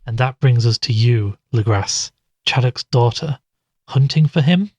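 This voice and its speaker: A man playing a sceptical, weary investigator in an audio drama, measured and questioning as he talks and narrates. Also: medium pitch, darkish tone, no room sound, close to an everyday voice. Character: sceptical, weary male investigator recounting strange events.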